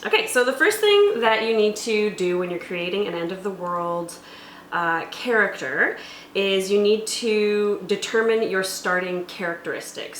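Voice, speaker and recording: A woman's voice: medium pitch, a bit bright. A woman hosting a tabletop roleplaying tutorial, explaining rules methodically and conversationally, step by step. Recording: echoey room with faint background noise.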